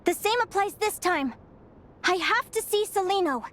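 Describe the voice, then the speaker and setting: A woman voicing an earnest young Fae girl in a game cutscene, talking in a soft, reflective, confiding way. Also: very high voice, animated, normal speed.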